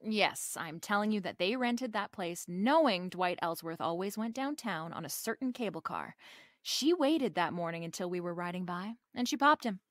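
A woman's voice: lowish voice, lively intonation, moderate pace, no echo, studio quality, lightly acted. An actress playing a wry, confident female private detective in a radio drama, with a breezy, slangy, wisecracking delivery. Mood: wry, explaining.

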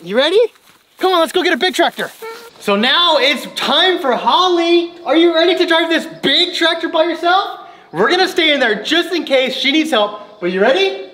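A woman narrating a family vlog from behind the camera on a farm, excitedly cheering her kids on as they play. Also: sits high. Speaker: mother and family vlogger. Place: outdoors on a farm, barn and lakeside.

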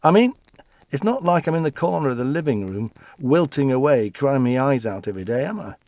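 An actor playing an elderly widower in an audio play, reminiscing wistfully about his wife in a halting, conversational, reflective way. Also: medium pitch, big pitch swings, a little mellow, dry recording. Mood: defensive, fragile. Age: older.

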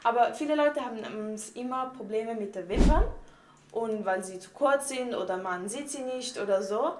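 A woman's voice: fairly high pitch, normal speed. A young woman with a Swiss German accent talks through her tips in a loose, chatty way, padding her sentences with fillers. She is recorded in a small tiled bathroom that rings with echo, with a faint hiss underneath. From Switzerland. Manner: chatty and unpolished, with many fillers like "und so". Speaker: vlogger. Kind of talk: casual beauty tips vlog. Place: home bathroom, indoors. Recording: echoey bathroom with faint noise.

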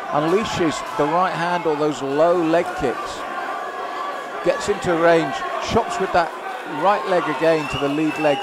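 Excited male fight commentator over roaring crowd. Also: fairly high pitch. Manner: excited, emphatic, admiring delivery. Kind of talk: live kickboxing play-by-play commentary. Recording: noisy live hall with loud crowd. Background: loud crowd and arena noise.

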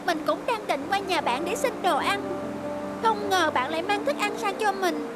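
A woman voicing a childlike, friendly rabbit in an animated fairy tale. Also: very high voice, moderate pace, loud music behind the voice.

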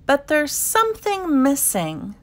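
A curious young male platypus from a storybook, acted out by a woman. The voice is fairly high and swings up and down with expressive exclamations. He muses cheerfully to himself at an easy, slow pace. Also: heavily acted. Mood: musing. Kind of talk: children's picture-book read-aloud.

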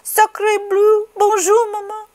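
A woman's voice: extremely high pitch, completely flat delivery, slow, darkish tone, voice pushed up. A woman voicing eager, needy newly hatched baby birds in a storybook, pleading with repeated words. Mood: excited.

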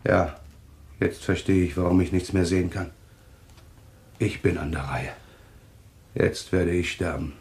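An actor playing a fatalistic male clairvoyant in a German radio thriller, speaking in a solemn, halting, insistent way. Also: low voice, steady tone, a little mellow. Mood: resigned, foreboding. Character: fatalistic male clairvoyant foretelling his own death.

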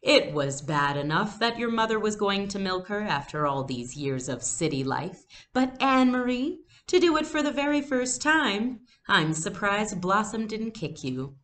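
A woman's voice: mid-range voice, sing-song, measured pace, few pauses, fairly bright tone, pitched above the performer's own voice. A woman reading a storybook, voicing a jovial, kindly farmer uncle who teases heartily. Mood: amused teasing.

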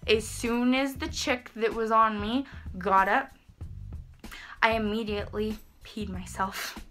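A woman's voice: a little high, slow pace, faint music behind. A young woman rambling in a casual vlog from her bedroom, talking to a camera in front of her and saying "like" a lot. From Texas. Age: young adult. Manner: rambling, lots of "like", expressive hand gestures. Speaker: vlogger. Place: small bedroom, indoors. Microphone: camera in front, head and shoulders framing.

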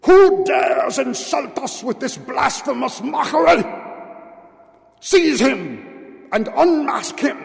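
A man's voice: very high voice, expressive, takes it slowly, vocal fry, pitched above the performer's own voice, exaggerated voice. A man voicing a bold, haughty prince in a gothic story, raging and imperious as he commands. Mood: outraged, commanding. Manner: shouting, imperious. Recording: reverberant hall.

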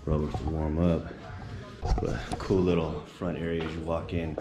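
A man casually narrating a vlog as he tours his weightlifting gym, relaxed and chatty. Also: very deep voice, normal speed, noisy background. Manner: relaxed, chatty, laid-back. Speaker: vlogger touring his gym. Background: music.

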